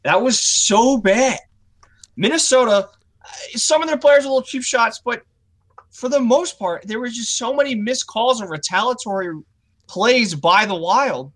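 Animated male podcast co-host. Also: high-pitched. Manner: opinionated, animated when ranting. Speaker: podcast co-host, youth coach. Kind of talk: casual sports podcast chat.

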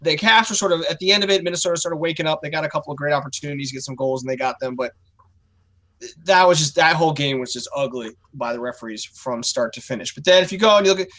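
A man co-hosting a casual sports podcast, opinionated and animated when he rants about the game. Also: on the high side, fast talker. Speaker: podcast co-host, youth coach.

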